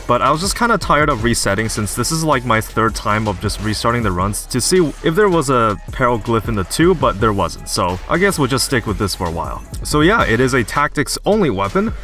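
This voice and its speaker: A man's voice: mid-range voice, bright, forceful tone. Young man giving chatty game commentary. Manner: casual, chatty, explanatory. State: slightly hoarse from recent illness. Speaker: gaming YouTuber. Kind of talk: gameplay commentary.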